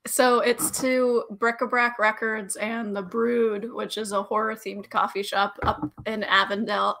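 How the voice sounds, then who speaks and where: A woman co-hosting a livestream talk show, croaky after an illness, with heavy vocal fry and soft, brief replies. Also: medium pitch, level tone, a little slow. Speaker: co-host. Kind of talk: casual livestream chat.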